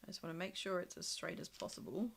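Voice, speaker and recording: Croaky, chatty woman demonstrating crafts. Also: fairly low pitch, steady tone, fast. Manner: chatty, thinking aloud with many ums and small laughs. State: slightly croaky from a cold. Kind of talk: casual live craft demo.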